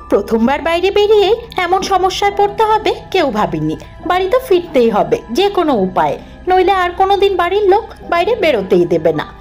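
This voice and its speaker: A woman narrating a scripted horror cartoon story in the first person, dramatic and expressive. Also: very high voice.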